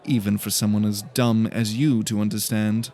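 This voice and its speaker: A man's voice: lowish voice, little pitch movement, normal speed, warm tone, natural voice. A man voicing a haughty young male student, curt and condescending, in an audiobook. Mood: scornful. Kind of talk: audiobook character dialogue.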